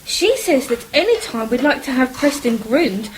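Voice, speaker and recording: An actress plays a genteel English married woman in a period radio drama. She is high-pitched, homely and polite, chatting away over a faint wash of noise. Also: very animated, moderate pace, poor audio. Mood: interested.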